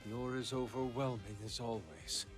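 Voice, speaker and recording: A man's voice: mid-range voice, normal speed, close to an everyday voice. A man voicing an earnest, intensely devoted assassin disciple in a video game, formal and solemn. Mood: respectful, calm. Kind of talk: video game character acting. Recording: studio voice under loud guitar music.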